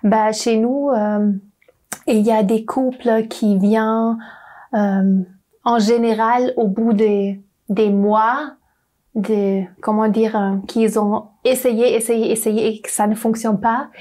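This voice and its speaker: Woman, non-native speaker, very slow, halting, many fillers, mellow voice. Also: on the high side, fairly even intonation. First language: not French. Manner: hesitant, searching for words, many fillers. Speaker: doctor at a clinic. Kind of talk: interview guest.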